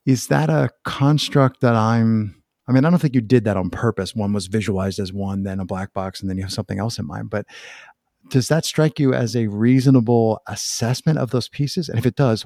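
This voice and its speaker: A man's voice: on the low side, darkish tone, dry recording, clean studio sound. A man hosting a podcast interview in a casual, conversational way, describing things vividly as he goes. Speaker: podcast host interviewing a guest.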